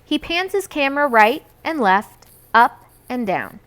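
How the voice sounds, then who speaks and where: A woman reads a children's book aloud. Her voice is bright and a little high, and her pitch moves in a lively, expressive way. She narrates as a storyteller would. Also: measured pace. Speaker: read-aloud reader.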